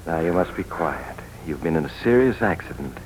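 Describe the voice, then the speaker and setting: A hospital doctor in an old radio drama. His voice is low and measured, with a soothing professional manner. A faint hiss runs under the recording. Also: expressive, darkish tone, rough recording. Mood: calm, reassuring. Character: calm, reassuring male hospital doctor. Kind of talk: 1940s radio drama acting.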